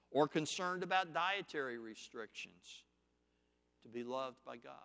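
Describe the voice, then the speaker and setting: Slow, deliberate male preacher. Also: medium pitch, a bit bright, clean tone, big-room sound. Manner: slow, deliberate, emphatic enumerating. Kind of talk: sermon teaching on scripture.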